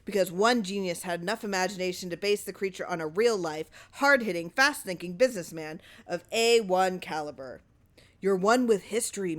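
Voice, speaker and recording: A woman voicing a wily, flattering old male lawyer in an audiobook story, talking in a persuasive, rhetorical way. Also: mid-range voice, close to an everyday voice.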